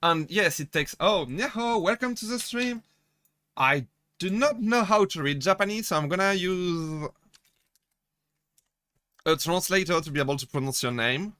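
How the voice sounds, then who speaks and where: A man's voice: high-pitched, animated, moderate pace, long pauses, sharp tone, no room sound. A young man from France with a French accent, chatting casually with his live-stream chat, laughing often and restarting his sentences as he jokes. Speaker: VTuber streamer.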